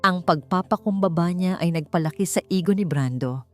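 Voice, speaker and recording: A woman narrates a dramatic audiobook in a fairly low voice. She reads in a measured, scripted way with pauses for suspense, over background music. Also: darkish tone.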